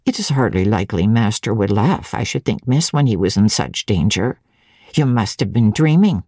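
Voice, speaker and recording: Audiobook servant woman, voiced by a female reader: very low, mellow, stolid and composed, with guarded, pointed phrasing. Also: lively intonation, breathy edge, no echo, studio quality, full character voice. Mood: cool, composed. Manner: phlegmatic, measured, insinuating.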